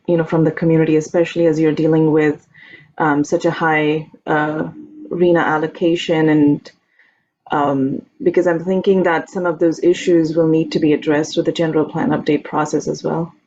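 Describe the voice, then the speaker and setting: A woman's voice: deep voice, darkish tone. A woman asking questions as a consultant on a conference call, phrasing them politely and tentatively. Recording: dull, noisy conference call line.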